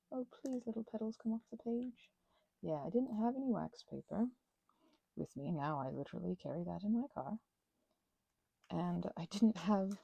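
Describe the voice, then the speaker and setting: A woman's voice: mid-range voice, vocal fry, mellow, a little hiss or hum, rough recording. A woman in a casual, rambling craft ASMR chat, self-deprecating and playfully sarcastic, often dropping toward a whisper and wandering off on tangents as she talks to herself. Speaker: channel host.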